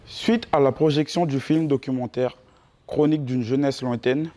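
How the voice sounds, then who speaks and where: A man hosting a festival program, presenting scripted announcements in a measured way. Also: medium pitch, fairly even intonation, dark tone. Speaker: host.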